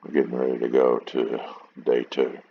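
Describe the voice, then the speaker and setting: A middle-aged man with a very low voice, casually and plainly talking through a wargame replay and rambling as he goes. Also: monotone, normal speed, vocal fry, highs cut off. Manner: rambling, plainspoken, self-deprecating. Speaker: gameplay video host.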